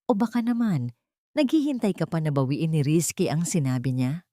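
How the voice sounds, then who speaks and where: A woman's voice: deep voice, expressive, normal speed, dark tone, no room sound, clean studio sound. Woman acting a spiteful, sweetly venomous mistress. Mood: sweetly taunting. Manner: syrupy sweet, sneering, condescending.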